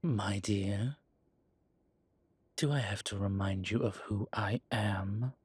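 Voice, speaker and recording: Old proud vampire guy, male actor, really husky n slow, quiet menace, dry audio drama recording. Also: lowish voice, no room sound, exaggerated voice. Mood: menacing. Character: ancient, proud, dangerous male vampire elder. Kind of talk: audio roleplay drama acting.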